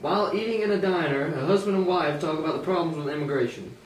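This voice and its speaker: A man reads scripted narration in a high voice. He is recorded at a distance in an echoey room with faint noise. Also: quick pace.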